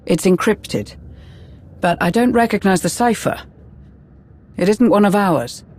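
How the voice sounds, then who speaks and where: Higher-pitched, earnest Imperial aide. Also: male voice, lively intonation, measured pace, a little mellow. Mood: wary, puzzled. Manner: earnest, formal, concerned. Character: earnest, uneasy male Imperial aide and adviser. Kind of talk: dramatic video game cutscene acting.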